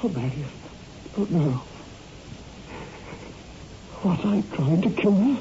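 An actor playing a tormented married doctor driven toward murder, halting and melodramatic, in 1960s radio horror style. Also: male voice, high-pitched, animated, mellow, exaggerated voice. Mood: anguished, desperate. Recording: muffled, noisy old radio recording.

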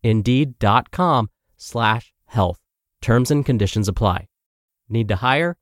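Lively American man presenting, close dry sound. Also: medium pitch, takes it slowly, no echo. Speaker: podcast host and nutrition teacher. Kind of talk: scripted narration and spoken commentary.

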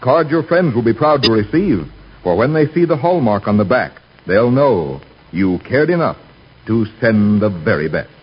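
A man's voice: mid-range voice, animated, normal speed, husky. A man working as a radio announcer, reading scripted advertising with a polished, persuasive 1940s sales delivery. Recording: dull 1940s radio recording.

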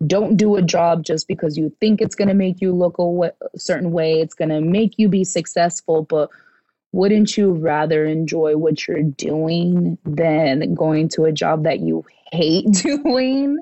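A woman in her twenties, a podcast guest in casual chat, animated and swearing now and then as she acts out what people said. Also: lowish voice, unhurried, no echo, dull audio. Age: 20s.

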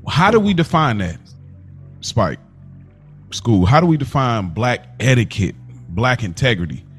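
A man hosting a casual podcast discussion, posing questions deliberately and rephrasing them as he goes. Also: medium pitch, sing-song, husky, faint music behind. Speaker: podcast host and moderator.

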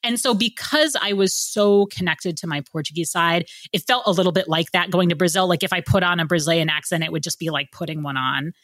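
A woman's voice: fairly low pitch, brisk, hardly any pauses, no room sound. Chatty American woman, dry close sound. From United States. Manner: animated storyteller, laughs often. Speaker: podcast guest. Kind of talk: casual interview chat.

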